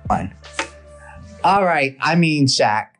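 A man co-hosting a podcast in a playful, teasing, energetic way. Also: fairly high pitch, takes it slowly, soft background music. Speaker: podcast co-host.

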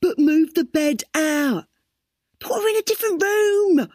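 A woman's voice: sits high, lively intonation, unhurried, darkish tone, dry recording, studio quality, pitched above the performer's own voice. A woman voicing a scheming young man who gives blunt, bossy orders.

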